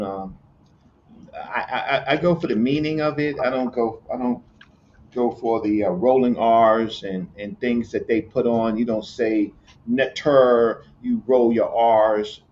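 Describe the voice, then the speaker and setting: A man from Virginia, explaining hieroglyphs in casual chat, halting, with many fillers and repeated words. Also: medium pitch, a little mellow, slight background noise. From Newport News, Virginia, USA. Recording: muffled lo-fi audio with faint ambience.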